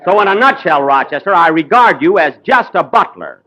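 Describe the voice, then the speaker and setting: A man's voice: sits high, very animated, a little fast, pushed and bright, slight background noise. A man hosting a 1940s radio comedy show, with a vain, easily exasperated comic delivery.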